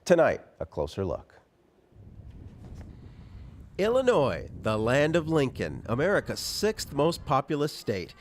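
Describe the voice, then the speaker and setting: Animated male TV news reporter. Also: a little high, a little slow, pauses often, a little hiss or hum. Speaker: political TV reporter. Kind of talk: scripted news narration.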